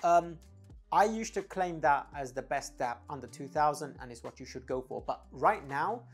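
A man's voice: fairly high pitch, expressive, normal speed, breathy edge. Emphatic, opinionated man reviewing gear. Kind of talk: conversational product review to camera. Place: dim home studio room, indoors. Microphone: clip-on lavalier mic on the shirt. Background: faint background music.

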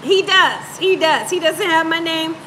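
A woman's voice: very high voice, a little slow, sharp tone, a little hiss or hum. A woman around her thirties or forties chatting casually and playfully with live viewers as host, repeating phrases for emphasis. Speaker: cooking show host on a livestream. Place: home room, indoors.

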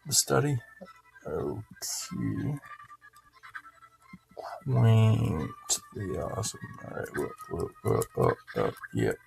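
A man's voice: fairly low pitch, huge pitch swings, a little slow, gravelly, poor audio. A man giving casual, rambling gameplay commentary, swearing and muttering to himself as he plays. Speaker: gaming video host. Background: video game music.

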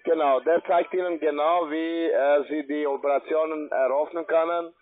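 A man with a foreign accent in German, giving an insistent, formal sales pitch as an account manager. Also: steady tone, normal speed, soft background music. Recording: tinny, narrow phone line.

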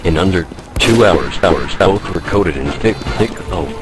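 A man with a low voice reads an amateur story in a mock-serious narrator's tone. Very loud video game music plays behind him. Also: animated, normal speed. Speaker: fan fiction reader.

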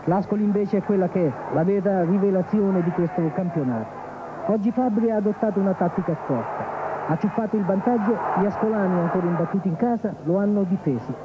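Male sports reporter, high voice, scripted match recap, narrow phone-like archive TV sound. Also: quick pace. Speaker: football reporter. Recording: muffled, noisy archive TV broadcast.

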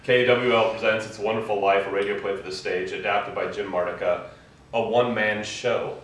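A man's voice: lowish voice, steady tone, fairly quick, a bit bright. An American man in his thirties interviewing casually, with a playful, teasing manner. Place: indoor theatre set. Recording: echoey room, distant mic, faint noise.

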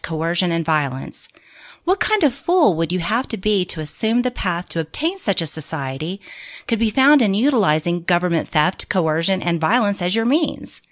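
Low-pitched woman narrating an audiobook. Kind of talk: scripted audiobook narration of a political manual.

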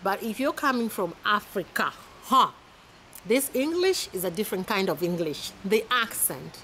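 A woman in her thirties from Kenya with a Kenyan accent, giving casual advice to camera in a chatty, animated way, filmed outdoors in a garden. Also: medium pitch, moderate pace, a little hiss or hum. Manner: chatty, animated, laughs often, with repeated restarts. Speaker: vlogger. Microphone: camera at arm's length.

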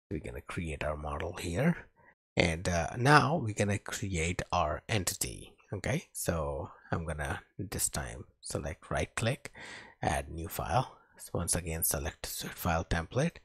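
A man narrating a coding tutorial as an instructor, speaking haltingly as he types along, with a husky voice. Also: deep voice, dry recording. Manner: halting, talks while typing. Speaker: programming instructor.

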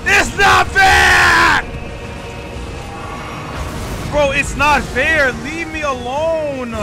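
A young American man giving casual, excitable let's-play commentary, slangy and repeating his phrases. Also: very high voice. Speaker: gaming YouTuber. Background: game music.

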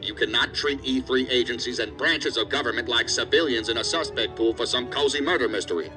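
A man acts out a hard-bitten federal agency boss in a sci-fi audio drama. He is stern and profane, scolding at a brisk clip. Music plays clearly behind his medium-pitched voice. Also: natural voice, rough recording. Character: angry, hard-bitten male assistant director of investigative agency.

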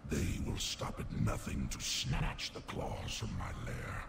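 An actor playing a giant ancient bear spirit lord in a video game, solemn and commanding, with a growling, booming voice and archaic phrasing. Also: male voice, sing-song, slow pace, creaky, noisy background, exaggerated voice. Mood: grave warning. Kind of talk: video game character acting.

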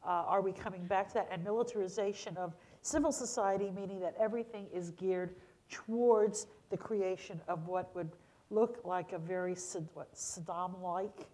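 A woman's voice: medium pitch, fairly even intonation, moderate pace, a little mellow. An older woman speaking emphatically as a panelist on a lecture hall stage. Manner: emphatic, explanatory. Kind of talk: panel discussion.

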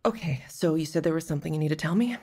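An actress playing an estranged, apologetic wife in a radio drama, speaking with careful earnestness. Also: female voice, low-pitched, rapid-fire, darkish tone, breathy edge. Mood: earnest, contrite.

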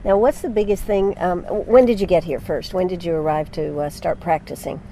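Chatty older woman, mellow voice. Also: lowish voice, a little hiss or hum. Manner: chatty, unhurried, many fillers. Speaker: radio interviewer. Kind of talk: live radio interview. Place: outdoors on a lawn at a golf course. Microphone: handheld mic.

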